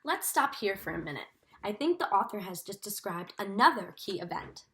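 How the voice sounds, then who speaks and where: A woman teacher reading a storybook aloud expressively, in a deliberate, instructive manner. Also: medium pitch, very animated, sharp tone. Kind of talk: expressive storybook read-aloud with comprehension questions.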